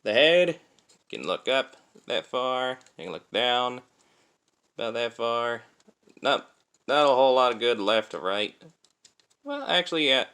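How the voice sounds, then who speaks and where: A man's voice: mid-range voice, big pitch swings, measured pace, pauses often, bright, forceful tone, clear, resonant tone. A man talking informally through a hands-on toy review, explaining things step by step. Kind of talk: casual hands-on product review.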